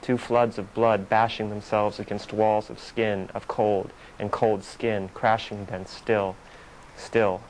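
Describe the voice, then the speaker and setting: A man recites poetry in short, incantatory phrases that often repeat. His voice is fairly low and stays very even in pitch. There is faint ambient noise behind him. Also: takes it slowly, rough recording. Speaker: poet. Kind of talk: poetry reading.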